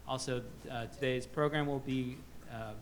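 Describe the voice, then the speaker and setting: A man's voice: mid-range voice, steady tone. Guy moderating a book panel, reads bios, lots of uhs and restarts, bit of hum in the room. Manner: halting, many fillers and restarts. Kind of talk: reading introductions at a book festival panel. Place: festival panel stage.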